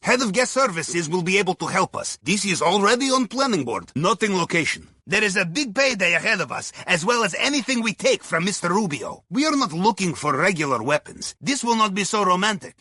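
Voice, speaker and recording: A wry, upbeat Russian submarine aide guides players through a video game heist. His voice is bright and husky, recorded very dry and close. He speaks clipped, article-dropping English in a thick Russian accent, with deadpan humor. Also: high voice, a little fast, hardly any pauses, no echo, exaggerated voice. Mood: businesslike, helpful. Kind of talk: scripted video game mission dialogue.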